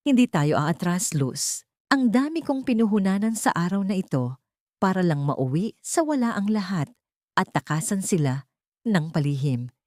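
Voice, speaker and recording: A resolute, wronged bride set on revenge, played by a woman storyteller. She speaks firmly in a fairly low voice for an audio drama, recorded dry and close. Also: very animated, normal speed, a little mellow, dry recording. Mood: cold resolve. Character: betrayed bride, resolute and vengeful.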